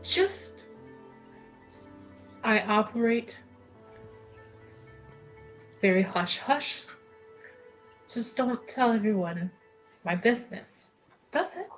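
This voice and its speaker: Slow, guarded, secretive woman adventurer, played by a woman. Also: on the high side, expressive, faint music behind, rough recording. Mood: firm. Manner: clipped, deliberate, short phrases. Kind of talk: improvised tabletop roleplay.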